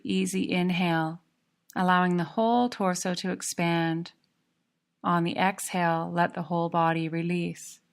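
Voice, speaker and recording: A woman narrating a guided yoga relaxation in a calm, measured, soothing manner. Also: fairly low pitch.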